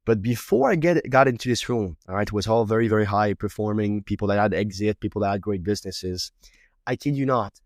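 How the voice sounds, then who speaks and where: A man's voice: on the low side, fairly quick, darkish tone, dry recording, clean studio sound. A man from Quebec whose first language is French, speaking English with a Québécois French accent as a podcast host, often repeating words as he builds his thoughts. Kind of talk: reflective interview talk.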